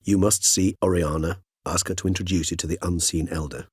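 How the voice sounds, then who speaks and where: An ancient, learned vampire gentleman for a fantasy video game. His voice sits fairly low with a soft, husky grain, recorded close and dry. He speaks in courteous, eloquent, old-fashioned sentences with measured care. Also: steady tone, dry recording. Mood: earnest, measured. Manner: eloquent, formal, measured, archaic wording. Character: erudite, courteous, centuries-old male vampire gentleman scholar.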